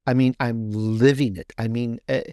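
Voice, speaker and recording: A man talks casually as an interview guest. His voice sits at a middle pitch and the sound is dry, with little room. He is emphatic and enthusiastic, often repeating a phrase to stress it. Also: steady tone, normal speed, a little mellow, clear, resonant tone, no room sound. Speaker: guest author and podcaster.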